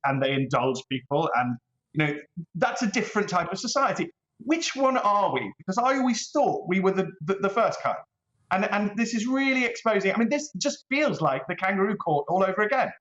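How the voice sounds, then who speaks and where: A man's voice: on the high side, fairly bright tone. A British man giving opinionated commentary as an interview guest, articulate and emphatic, repeating phrases for effect. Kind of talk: opinionated current-affairs interview. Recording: echoey room, slightly dull sound.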